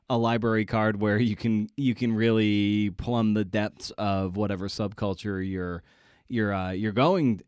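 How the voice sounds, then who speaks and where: Smooth, low-voiced man hosting a podcast. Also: a little mellow, no room sound, clean studio sound. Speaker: podcast host, interviewer. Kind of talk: casual interview chat.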